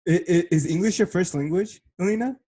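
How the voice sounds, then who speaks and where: A man's voice: sits high, fairly quick, warm tone, breathy edge. A man hosting a podcast in a casual, chatty interview style, with a teasing, joking manner. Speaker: podcast host.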